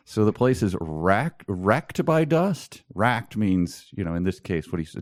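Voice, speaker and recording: A man's voice: fairly low pitch, very animated, takes it slowly, no echo, studio quality. A man co-hosting a podcast in casual chat about a book, with an amused, mock-puzzled and emphatic manner. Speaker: podcast co-host.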